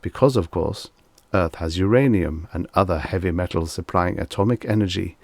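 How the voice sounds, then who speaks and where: A man reading a scripted short story as an audiobook narrator, in a measured, expressive storytelling manner. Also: deep voice, creaky, dry recording.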